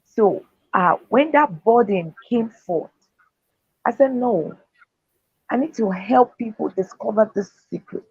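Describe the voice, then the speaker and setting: A woman's voice: mid-range voice, a little mellow, husky, no echo. A woman speaker and author giving earnest, faith-driven motivational answers in an interview. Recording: dull, dry lo-fi sound.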